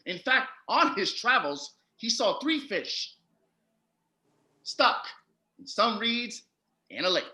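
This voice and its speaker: A wry fairytale narrator, played by a performer, tells the story in a bright, lively voice. The telling is patient and storybook-like, with noticeable room echo. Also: medium pitch, takes it slowly, long pauses, full character voice. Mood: storytelling. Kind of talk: storybook narration in comic audio drama.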